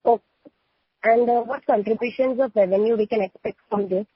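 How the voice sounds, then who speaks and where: A woman's voice: a little high, brisk, slightly rough, no echo. A woman asking questions as an analyst on an earnings call. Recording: dull, dry conference phone line.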